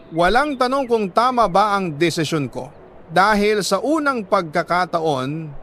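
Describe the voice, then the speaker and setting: A Filipino man with a high voice reads a personal letter as a radio storyteller. His delivery is measured and sentimental, with dramatic, deliberate pauses. There is a faint but steady layer of noise in the recording. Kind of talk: dramatic first-person scripted narration in the letter writer's words.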